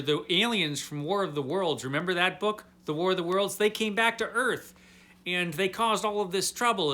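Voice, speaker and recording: A man hosting a casual, comedic comic book recap, talking to viewers in an animated, tongue-in-cheek, mock-baffled way with emphatic, drawn-out key words. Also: high voice. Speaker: host of a comic book review series.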